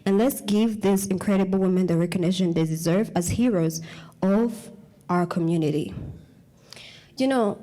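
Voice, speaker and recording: A woman's voice: on the low side, warm tone. A young woman giving an earnest, prepared speech to an audience, often stopping to restart a word. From Congo, raised in Uganda. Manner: earnest, halting, with frequent restarts on words. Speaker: community speaker.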